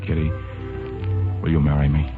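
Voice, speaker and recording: An actor playing an ardent, earnest young upper-class bridegroom in a 1940s radio drama, smooth and romantic. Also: male voice, a bass voice, monotone, normal speed. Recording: tinny 1940s radio recording with music behind.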